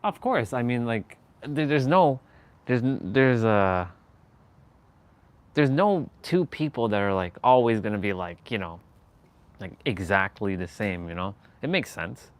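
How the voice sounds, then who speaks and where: A man from Canada chats loosely with a viewer on his live stream. His voice sits fairly low, and he rambles and hunts for words. He laughs often. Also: expressive, unhurried, clean tone. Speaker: livestream host. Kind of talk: casual live-stream chat.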